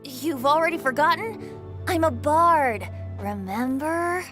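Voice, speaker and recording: A woman voicing a carefree young male bard in a video game, airy and flowery in speech, quick to giggle. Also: high voice, lively intonation, music in the background. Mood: playful.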